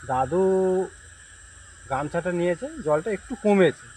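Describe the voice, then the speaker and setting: A man acts out a village ghost storyteller with a slightly high voice. He builds suspense in pauses and keeps checking that his listeners follow, as in an amateur drama. The outdoor night recording has insect noise behind it. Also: darkish tone. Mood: inviting, suspenseful. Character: elder male friend telling a village ghost story, secretly a ghost. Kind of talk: acted conversational ghost storytelling.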